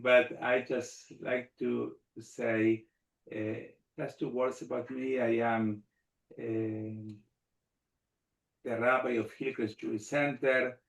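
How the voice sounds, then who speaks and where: A middle-aged man from Argentina, a native Spanish speaker, talks as a rabbi on a panel. His voice is gravelly and his delivery measured, often repeating phrases in lists. The sound is roomy and echoey. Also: mid-range voice. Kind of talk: panel talk.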